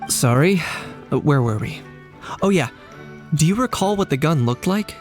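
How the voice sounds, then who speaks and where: A man voicing a composed, polite knight investigator in a game, measured and analytical with a dry streak. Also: on the high side, animated, faint music behind, lightly acted. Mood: polite, curious. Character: composed, courteous male knight investigator, dryly cheeky. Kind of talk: English game dub acting.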